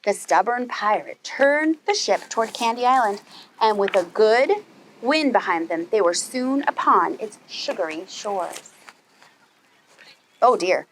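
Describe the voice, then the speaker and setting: A woman reading a children's story aloud as a preschool storyteller, animated and putting questions to her young listeners. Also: medium pitch, unhurried, slight background noise, rough recording.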